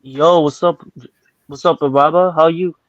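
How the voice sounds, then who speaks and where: A man's voice: fairly high pitch, fairly even intonation, unhurried, dry recording. A man whose first language is Hindi, calling in to chat casually and slangily through a smartphone. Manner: informal, slangy. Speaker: caller, debate guest. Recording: dull phone microphone, dry sound.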